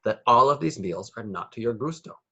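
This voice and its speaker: A man's voice: mid-range voice, expressive, brisk, bright, forceful tone, breathy edge, exaggerated voice. A man voicing a loving but exasperated mother in a picture book, with an emphatic, motherly delivery.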